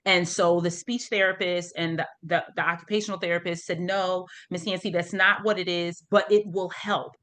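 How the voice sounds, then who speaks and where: A middle-aged woman from the United States with a slightly low voice leads a group session over a video call. She explains things informally, step by step, and often checks that listeners are following. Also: steady tone, normal speed, hardly any pauses, fairly bright tone, a little hiss or hum. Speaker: parent support group host. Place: online video meeting.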